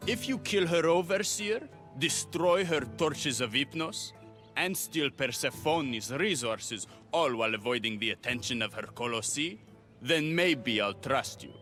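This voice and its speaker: An actor playing a sly, lovestruck troublemaker in a video game, with a playful, poetic, flamboyant manner. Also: male voice, mid-range voice, animated, unhurried, sharp tone, faint music behind. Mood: scheming.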